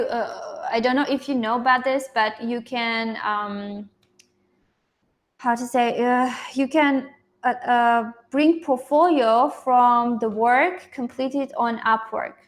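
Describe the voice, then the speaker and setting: A woman coaching a group informally on an online call in an encouraging, affirming manner. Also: a little high.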